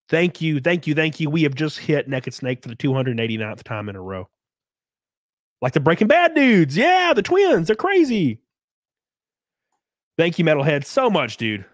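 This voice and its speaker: A man in his thirties hosting a casual live stream from a small home room, close on a boom mic, joking crudely and sounding congested from a cold. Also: no echo. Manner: crude, joking, laughs often. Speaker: livestream host. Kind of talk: casual live-stream chat reading donations. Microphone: studio mic on boom arm, close.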